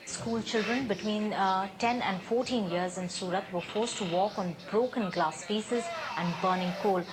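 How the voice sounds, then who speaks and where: A woman reads a news report in a steady, formal tone. The clip plays over a live crowd that can clearly be heard. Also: mid-range voice, low-quality recording. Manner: measured, formal. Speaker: news reader.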